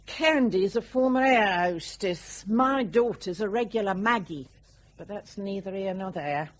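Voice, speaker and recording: A woman's voice: medium pitch, measured pace, natural voice. An older actress playing a blunt, grumbling elderly mother who scolds and nags in a radio drama. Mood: blunt, nagging. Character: blunt, nagging elderly working-class mother.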